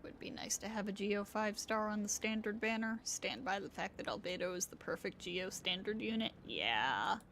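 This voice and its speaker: A woman's voice: medium pitch, normal speed, few pauses, a bit bright, a little hiss or hum. A woman game streamer giving casual live commentary, rambling and thinking aloud as she plays. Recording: game music behind the voice.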